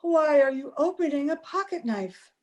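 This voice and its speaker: An anxious young sweetheart in an old-time radio drama, played by a woman. Her high voice is earnest and fretful, devoted and persistent, with a melodramatic delivery. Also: expressive, moderate pace, a little mellow. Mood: frightened. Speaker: amateur radio play actor. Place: home, over a video call.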